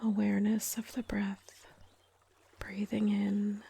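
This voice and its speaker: A woman leading a guided meditation in a soft, breathy, whispery voice, calm and gentle, with unhurried pauses. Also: medium pitch. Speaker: meditation guide, yoga teacher.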